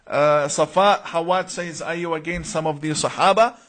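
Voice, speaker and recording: A fairly high-voiced man from Iraq hosts a live stream, reading viewer names and questions before answering. He talks earnestly and emphatically, stumbling over repeated words and uh's as he works through his answers. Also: fairly even intonation, moderate pace, fairly bright tone.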